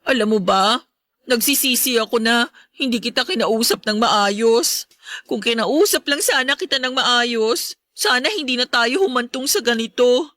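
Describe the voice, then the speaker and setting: A woman's voice: on the high side, lively intonation, moderate pace, fairly bright tone, dry recording, studio quality. A woman playing a remorseful, pleading stepmother in an emotive Filipino radio drama, with a Filipino accent. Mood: regretful. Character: remorseful, pleading stepmother, adult woman under family strain.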